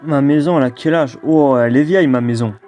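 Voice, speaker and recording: A man's voice: medium pitch, fast talker, warm tone, soft background music. A man in a casual phone conversation, with a teasing, playfully argumentative way of talking. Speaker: prank-call video creator.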